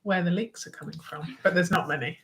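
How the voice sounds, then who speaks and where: Bright, high-voiced livestream co-host. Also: male voice, fairly even intonation, brisk. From south of England. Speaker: sailing channel co-host. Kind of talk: casual livestream chat.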